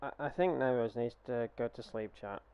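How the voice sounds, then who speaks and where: A man's voice: mid-range voice, brisk, lo-fi sound, crowd noise. Laid-back young man streaming a game. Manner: laid-back, talks straight to his viewers. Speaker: streamer host. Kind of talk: casual chat to a live stream chat.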